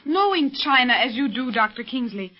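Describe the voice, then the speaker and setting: A refined, polite lady in a 1930s radio drama. Her voice is high with a lilting, animated range. The sound is muffled and aged. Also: normal speed. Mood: polite interest. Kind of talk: 1930s radio adventure serial acting.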